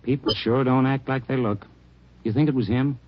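An American man playing a chatty, defensive hotel manager in an old radio drama, folksy and a bit hesitant, with a husky voice. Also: mid-range voice, steady tone, normal speed, lightly acted. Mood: uneasy, defensive. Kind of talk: mid-century radio drama acting. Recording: muffled old radio recording.

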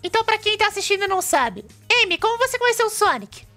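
A woman's voice: very high-pitched, sing-song, fast, sharp tone, faint music behind, exaggerated voice. A woman voicing a cheerful, brainy young male fox who presents a cartoon web show, eagerly explaining things with a Brazilian accent. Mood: upbeat.

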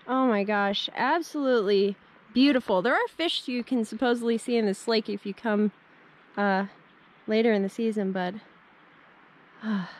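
A young woman vlogging on a hike, chatting with enthusiasm and thinking aloud beside a snowy mountain lake, filmed on a handheld camera. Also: mid-range voice. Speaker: hiking vlogger. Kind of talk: casual on-trail narration. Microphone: handheld camera at arm's length.

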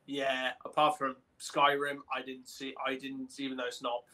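A man's voice: mid-range voice, completely flat delivery, normal speed, bright, forceful tone. A young man chatting casually as a podcast co-host on a video call. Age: young adult. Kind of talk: casual chat. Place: indoor room on a video call.

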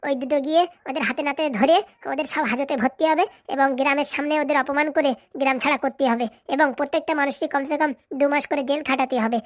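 A scheming village madam from a comedy cartoon, acted by a woman, speaks in a high, piercing pitch. Her rural Bengali speech comes quickly, blunt and commanding, as she bosses around her hired help. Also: steady tone, dry recording, studio quality, close to an everyday voice. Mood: scheming, demanding. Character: bossy scheming village madam hiring a corrupt policeman. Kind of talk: comic cartoon dialogue.

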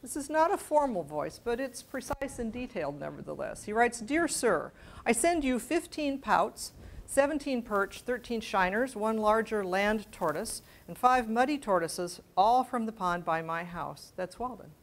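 An older woman giving a public lecture, reading letters aloud in a measured, precise way and adding informal asides. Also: fairly high pitch, lively intonation. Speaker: guest lecturer. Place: medium-sized library meeting room with seated audience. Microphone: lapel mic.

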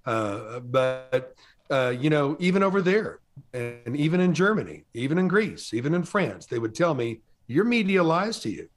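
A middle-aged man hosting an interview from a home studio, talking quickly and animatedly, often stumbling over his words. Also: a little high, big pitch swings. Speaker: host. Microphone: studio mic on a boom.

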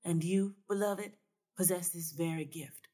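A woman's voice: on the low side, steady tone, measured pace, warm tone. A woman voicing a knowing Southern woman seer in a story, folksy, solemn and assured.

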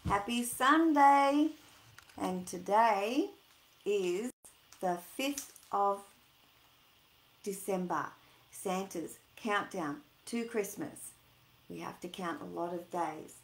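Slow-talking older woman storyteller, roomy sound. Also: mid-range voice, animated, gravelly, slight background noise. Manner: slow, sing-song, questioning, as to small children. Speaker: storyteller for children. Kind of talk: read-aloud and chat addressed to young children. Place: small indoor room at home. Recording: roomy, echoey home webcam sound.

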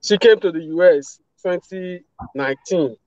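A Nigerian man in his thirties talking casually as a guest on a live video call, relaxed, with many "you know" fillers. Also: high voice, normal speed, no echo, lo-fi sound. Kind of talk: casual testimonial. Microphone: phone video call.

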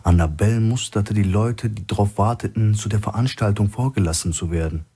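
A man with a husky voice reading scripted thriller narration as an audiobook narrator. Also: on the low side, brisk, dark tone.

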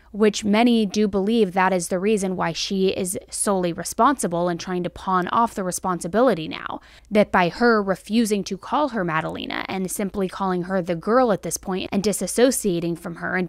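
A woman's voice: medium pitch, no echo. An American woman in her thirties hosting true crime commentary, speaking in a conversational, emphatic way into a close podcast microphone. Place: small indoor studio set. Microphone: close podcast microphone on stand.

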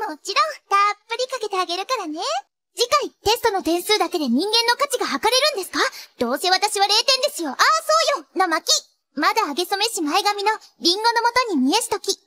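Anime teen princess, sugary and girlish, very high and bright. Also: dry recording, exaggerated voice. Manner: cutesy, perky, girlish. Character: sweet-sounding teenage magical-girl princess, cute, perky, secretly ruthless. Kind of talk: anime voice acting.

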